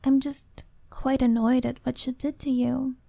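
A woman voicing a shy young woman who confesses her feelings to a younger friend, speaking softly and often in a whisper. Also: sits high, level tone, measured pace, vocal fry, no room sound, heavily acted. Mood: annoyed. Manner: soft, hesitant, often whispered. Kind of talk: ASMR-style roleplay monologue.

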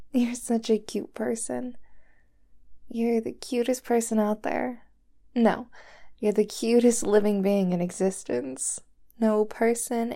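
A woman voicing a doting, possessive young girlfriend who holds her lover captive, talking softly and sweetly in a whispery voice. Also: a little high, gravelly. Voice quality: soft and whispery. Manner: sweet, clingy, coaxing. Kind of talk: roleplay audio drama to the listener.

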